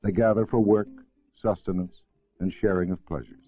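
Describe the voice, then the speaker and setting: A man reading scripted educational film narration in a formal, measured way. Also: fairly low pitch, steady tone, husky, faint music behind. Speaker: documentary narrator. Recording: narrow-band vintage film soundtrack.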